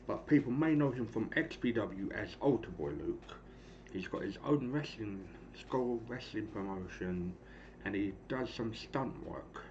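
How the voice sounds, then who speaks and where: Hoarse thirties man streaming, muffled audio. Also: lowish voice, animated, measured pace, a little mellow. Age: 30s. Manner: rambling, clears throat often. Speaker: game streamer. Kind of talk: casual play-by-play commentary on a wrestling game. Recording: dull lo-fi stream audio with clear noise.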